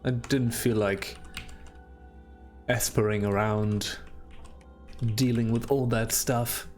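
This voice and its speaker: A man's voice: medium pitch, fairly even intonation, slow, soft background music. A man with a German accent giving casual, rambling commentary while playing a video game, chatty and self-deprecating. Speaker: let's play host.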